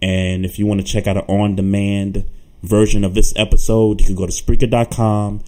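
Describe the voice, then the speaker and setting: A very deep-voiced man hosts a laid-back sports talk show. He talks loosely, repeating words and restarting as he thinks. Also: moderate pace. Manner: relaxed, stammers and repeats words. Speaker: podcast co-host.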